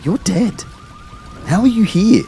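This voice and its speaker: A man's voice: sits high, expressive, vocal fry, warm tone, faint music behind, full character voice. A man acting a bewildered, stammering young man caught in a disaster in an audio drama. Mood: alarmed, disbelieving. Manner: halting, stammering.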